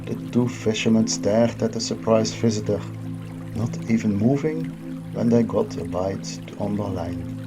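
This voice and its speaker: A man telling a story in a slow, scripted narration. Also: lowish voice. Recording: music and trickling water behind. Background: music, trickling water.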